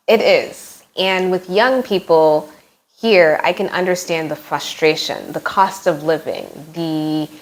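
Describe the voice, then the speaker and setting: A woman in her thirties with an American accent, speaking frankly and with strong opinions in a casual vlog, often adding "like" asides. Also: fairly low pitch. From United States. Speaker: expat vlogger. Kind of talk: casual talk to camera.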